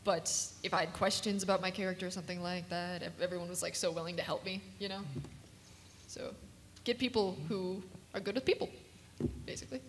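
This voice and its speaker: A woman's voice: lowish voice, steady tone, fairly quick. A woman talking casually as a panelist. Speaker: voice actress, panelist. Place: convention panel room. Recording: reverberant room, faint background noise.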